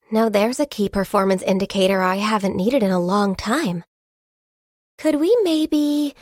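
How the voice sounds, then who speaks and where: A woman voicing a shy, gentle, diligent half-Qilin secretary in a video game, with polite, earnest, soft-spoken delivery. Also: high-pitched, no echo, clean studio sound. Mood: reluctant, resolute. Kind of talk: video game character voice lines.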